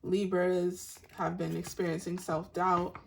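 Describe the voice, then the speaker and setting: Slow, raspy, low-voiced woman reader. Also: flat delivery, background noise. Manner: soft-spoken and meandering, unhurried spiritual talk. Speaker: tarot reader. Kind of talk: intuitive tarot reading for an online audience.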